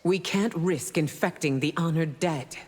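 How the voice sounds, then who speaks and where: A woman's voice: deep voice, unhurried, darkish tone, husky. A woman playing a stern, haughty fantasy ruler in a fighting game, speaking formally and imperiously. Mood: stern, cold. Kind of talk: fighting game character dialogue. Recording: long reverb, faint noise.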